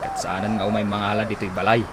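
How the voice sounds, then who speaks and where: An actor playing a rural village neighbour in an Ilocano comedy radio drama. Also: male voice, fairly low pitch, little pitch movement, fast, natural voice. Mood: chatty. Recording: noisy track with birds and roosters.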